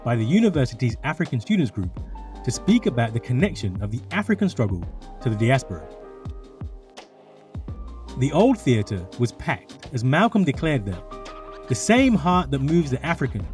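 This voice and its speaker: A man's voice: mid-range voice, big pitch swings, moderate pace, darkish tone, faint music behind. A man reading scripted documentary narration.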